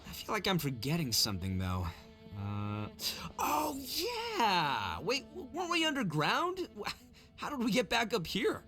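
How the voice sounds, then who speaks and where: A man voicing a loud, boastful and cheerful young brawler in a game cutscene. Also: high voice, wildly swooping pitch, a little slow, exaggerated voice. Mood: confused. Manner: boisterous, hearty. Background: game music.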